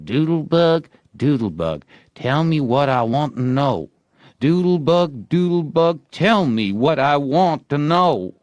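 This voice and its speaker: A man voicing an excitable, bossy young boy in a storybook, talking theatrically and in folksy dialect as he plays a bold outlaw. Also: a little high, slow, no echo, voice pushed up. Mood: pleading, coaxing. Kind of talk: acted storybook dialogue.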